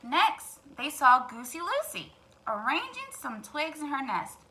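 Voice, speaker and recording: A woman's voice: sits high, measured pace. A woman teacher hosting storytime, reading aloud expressively to young children and adding asides to them. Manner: expressive, addresses young listeners directly. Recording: clear background noise.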